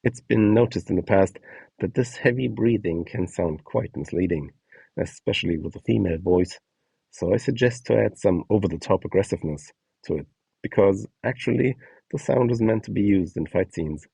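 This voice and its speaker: Deep voiced guy explaining stuff step by step, husky, measured, super dry recording. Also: mellow, no room sound, low-quality recording. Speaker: presenter of a voice donation guide. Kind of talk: instructional narration.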